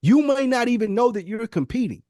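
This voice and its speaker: A man's voice: extremely high pitch, lively intonation, brisk, a little mellow, dry recording. A man co-hosting a podcast, explaining his point insistently in a casual debate. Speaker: podcast co-host.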